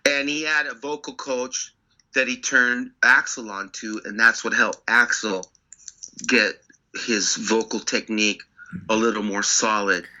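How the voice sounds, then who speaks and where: A man with a low voice and a very bright, forceful edge, chatting as an interview guest. He talks in animated, insistent bursts. The sound is slightly dull and muffled. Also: a little slow. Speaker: record producer guest. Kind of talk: casual interview storytelling.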